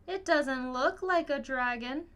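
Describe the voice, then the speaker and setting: A woman voicing an eager, excitable young schoolchild in a picture book, speaking emphatically. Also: high-pitched, a little slow, voice pushed up. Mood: doubtful.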